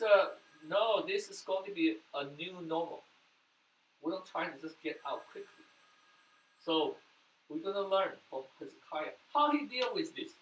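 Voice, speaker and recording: Man preaching in an echoey room. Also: high-pitched. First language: Mandarin. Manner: earnest preaching, sudden loud bursts then soft drops. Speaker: pastor. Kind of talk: sermon. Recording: echoey room, mic at a distance, faint noise.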